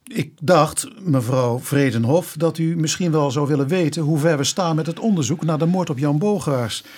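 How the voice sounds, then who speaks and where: An actor playing an earnest police inspector in a Dutch radio drama, formal and careful, polite but pressing. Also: male voice, fairly high pitch, normal speed, darkish tone, breathy edge. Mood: polite, probing.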